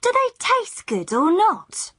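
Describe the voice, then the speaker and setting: Female co-host, scripted delivery, high voice, slightly slow, dry studio sound. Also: wildly swooping pitch, a bit bright, no room sound. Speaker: podcast co-host. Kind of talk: scripted podcast presenting.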